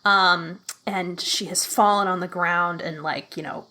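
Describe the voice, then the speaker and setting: A woman's voice: on the low side, fairly even intonation, unhurried, pushed and bright. A woman playing a solo tabletop mystery as a guest, chatting casually and laughing often. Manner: chatty, laughs often, slips into a posh in-character voice. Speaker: guest player. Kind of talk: casual play chat.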